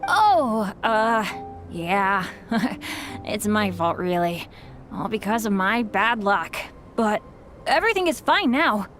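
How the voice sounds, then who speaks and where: A woman's voice: medium pitch, very animated, slow, fairly bright tone, soft background music. A woman voicing a good-natured, sheepish teenage boy adventurer in a video game, hesitant and apologetic.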